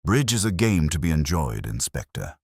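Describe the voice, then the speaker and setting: A man's voice: very deep voice, sing-song, moderate pace, a little mellow, husky, no room sound, clean studio sound, full character voice. A man voicing a prim, self-important female club treasurer in a mystery story, formal and defensive.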